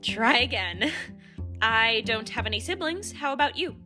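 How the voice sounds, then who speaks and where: Woman playing a wry awkward gal in a radio drama, sharp lively voice, music under it. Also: fairly high pitch, moderate pace. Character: wry, awkward, prickly but candid female survivor. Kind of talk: radio play acting.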